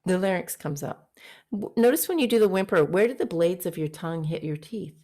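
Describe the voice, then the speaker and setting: A woman vocal coach with a fairly low voice, teaching an online singing master class and breaking off to sing short demonstration notes. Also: expressive, fairly quick, warm tone. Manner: explains briefly, then sings short demonstration notes. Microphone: video call.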